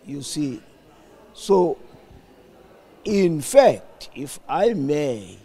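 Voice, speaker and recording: An older South African man giving reflective interview answers in a deliberate, emphatic, explanatory manner. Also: fairly high pitch, sing-song, mellow, slightly rough. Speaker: studio guest, former radio broadcaster.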